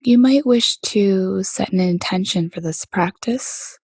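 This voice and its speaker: A woman leading a guided meditation as teacher, speaking gently in a soft voice with many pauses. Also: mid-range voice, breathy edge, no room sound, clean studio sound. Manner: gentle, unhurried, pausing often between phrases.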